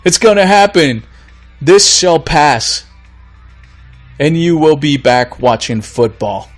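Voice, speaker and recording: A man's voice: a little high, expressive, slow pace, faint music behind. A man from Florida hosting his own podcast, ranting bluntly and with exasperation while he is losing his voice. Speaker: podcast host. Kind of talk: solo podcast rant.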